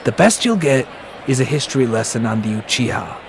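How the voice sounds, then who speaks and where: A man's voice: medium pitch, big pitch swings, fairly quick, background noise. A man acting a shrewd, cynical ninja commander in an anime-style audio drama, speaking bluntly and matter-of-factly. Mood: wry, shrewd. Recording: music behind the voice.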